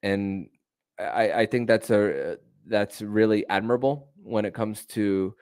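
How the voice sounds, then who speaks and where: A man hosting a sports podcast, talking in a halting way with repeated and drawn-out words. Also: on the low side, darkish tone, clean tone, dry recording, studio quality. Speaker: podcast host.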